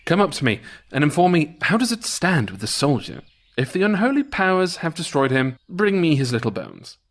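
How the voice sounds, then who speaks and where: Guy doing a grand storybook tsar, formal and imperious, very animated pitch. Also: normal speed, heavily acted.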